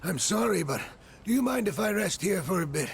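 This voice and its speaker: Frail old man, very gravelly, swooping pitch, kindly, halting, video game character. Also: big pitch swings, a little hiss or hum, exaggerated voice. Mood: gentle, weary. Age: older. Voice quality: creaky, worn.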